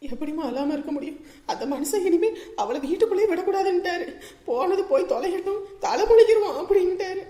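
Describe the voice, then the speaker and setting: Woman acting high-pitched, aggrieved village mother. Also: expressive, fairly quick, mellow. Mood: bitter scolding. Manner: plaintive, lamenting, scolding. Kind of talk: amateur village family drama acting. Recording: roomy, echoing voice recording.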